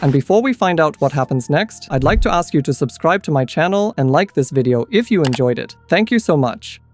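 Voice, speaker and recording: A man's voice: on the high side, animated, a little fast. A man reading scripted explainer commentary as the host of a video essay. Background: background music.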